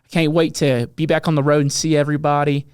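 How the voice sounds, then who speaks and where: Southern dude hosting a podcast, kinda high smooth voice, dry close mic, cusses a lot. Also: male voice, flat delivery, quick pace, a little mellow, dry recording. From American South. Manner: laid-back, swears freely, lots of fillers. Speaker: podcast host and touring musician. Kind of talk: casual podcast chat.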